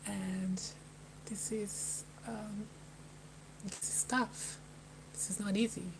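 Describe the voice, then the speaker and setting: Halting Brazilian woman, flat delivery. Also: medium pitch, noisy background. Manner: hesitant, halting, with many restarts and sighs. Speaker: livestreamer. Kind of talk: personal talk to a live chat. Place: Melbourne, indoors.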